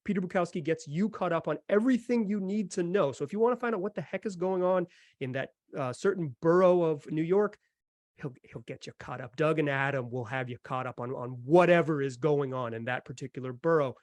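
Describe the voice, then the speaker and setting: A man's voice: on the high side, brisk, darkish tone, no echo. A man hosting a podcast, chatting casually and enthusiastically about sports with emphatic delivery. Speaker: podcast host.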